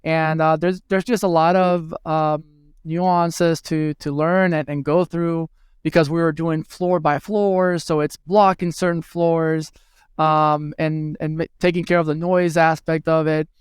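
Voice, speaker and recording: Brief: man from Venezuela, thirties, smooth voice, slightly higher register, hesitant chatty guest. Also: level tone, unhurried, no room sound, studio quality. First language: Spanish. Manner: hesitant, many fillers and restarts. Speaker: guest, hospitality tech executive. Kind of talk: conversational interview answers.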